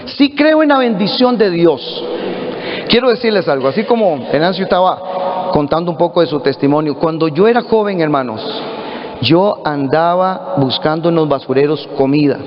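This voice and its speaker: A middle-aged Costa Rican pastor preaches earnestly, calling out to his brothers and sisters in a high voice. The sermon rings through a roomy hall with a long tail of reverb. The recording is dull and lo-fi. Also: lively intonation, moderate pace. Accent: Costa Rican Spanish. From Costa Rica. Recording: echoey hall, dull lo-fi audio.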